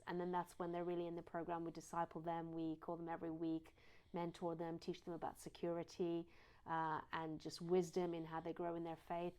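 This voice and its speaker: A woman's voice: fairly low pitch, completely flat delivery, brisk, dark tone, clean tone. A woman with a British accent that has a slight American twang, originally from Iran, answering interview questions fluently as a charity head. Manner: fluent and explanatory, lists things in series. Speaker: charity CEO, guest.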